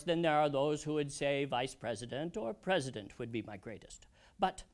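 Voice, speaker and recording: An actor playing an elderly American statesman in a theatrical historical monologue, proud and wistful, with deliberate, old-fashioned diction and reflective pauses. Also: high-pitched.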